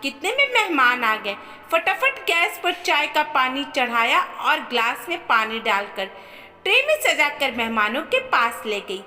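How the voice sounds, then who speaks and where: Woman reading a family drama story, high voice, super animated n emphatic, lots of reverb. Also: measured pace. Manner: expressive, emphatic. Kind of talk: scripted dramatic narration of family moral story. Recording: long reverberant echo on voice.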